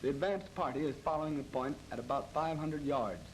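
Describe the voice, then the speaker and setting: An American man narrates a military training film in a formal, measured, matter-of-fact 1930s instructional style. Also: a little high. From United States. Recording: noisy old 1930s film soundtrack.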